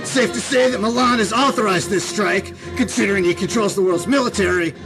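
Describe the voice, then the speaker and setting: Guy as a rebel radio dj, really high voice, earnest and urgent, music playing behind. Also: a little fast. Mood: tense, defiant.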